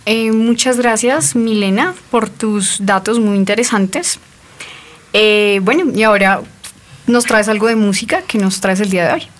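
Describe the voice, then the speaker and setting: A woman's voice: medium pitch, a little slow, slight background noise. A woman hosting a show in a conversational style. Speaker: show host.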